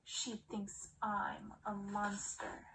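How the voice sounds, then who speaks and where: A woman's voice: mid-range voice, monotone, extremely slow, distant mic, background noise. A woman voicing an angry, brooding male beast in a storybook reading, growling and fuming. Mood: furious, wounded.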